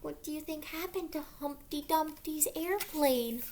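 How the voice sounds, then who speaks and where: A woman reading a picture book aloud to children, expressively, pausing to ask the young listeners questions. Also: sits high, darkish tone, slight background noise.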